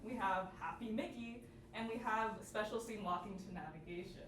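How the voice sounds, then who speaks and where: Brief: young female student presenter, informal and chatty, distant mic with clear room noise. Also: medium pitch, moderate pace, roomy sound. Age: young adult.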